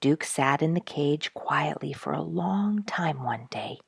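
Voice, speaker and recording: Low-voiced woman storyteller with a creaky edge. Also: animated, slow pace, dry recording. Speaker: story reader and show host. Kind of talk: expressive scripted storybook narration.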